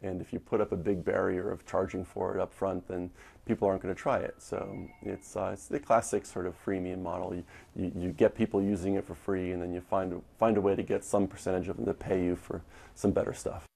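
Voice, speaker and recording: An American man gives interview answers as a company executive. His voice is low and husky, with extremely heavy creak and gravel. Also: flat delivery, brisk, dark tone. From US East Coast. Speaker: tech company CEO, interviewee.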